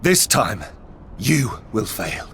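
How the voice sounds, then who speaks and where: A man voicing a grave, earnest demigod oath keeper in a video game, speaking in a solemn, measured way. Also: lowish voice, sing-song, creaky, a little hiss or hum, full character voice. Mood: defiant. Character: grave, sorrowful male oath keeper, rebellious demigod son. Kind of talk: cinematic video game acting. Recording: loud orchestral music behind. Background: loud game score.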